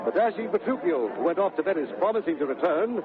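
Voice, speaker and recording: A man narrating a scripted story in old radio manner, measured and wry, with dramatic pauses. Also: on the high side, big pitch swings, a crowd in the background. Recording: narrow-band old radio sound, music behind.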